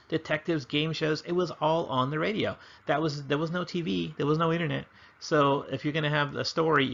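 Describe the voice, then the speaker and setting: An American man explaining history in a relaxed, casual lesson, with fillers and restarts. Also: medium pitch, vocal fry, lo-fi sound. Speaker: teacher.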